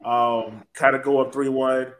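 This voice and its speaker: A man's voice: mid-range voice, steady tone, unhurried. A man hosting a casual sports podcast, chatting in a playful, teasing way. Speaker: podcast host.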